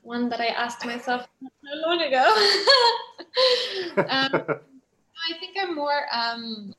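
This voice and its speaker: High-voiced, enthusiastic woman in a roomy space. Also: expressive, takes it slowly, a bit bright. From Switzerland. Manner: enthusiastic, giggly. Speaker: guest, actress and producer. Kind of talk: casual interview chat. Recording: roomy, echoing sound.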